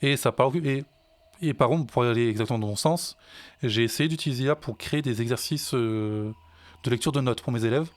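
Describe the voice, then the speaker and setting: A man's voice: medium pitch, fairly quick, dry recording. A French man, a music teacher and songwriter, chatting in a casual podcast and hesitating with many fillers and restarts.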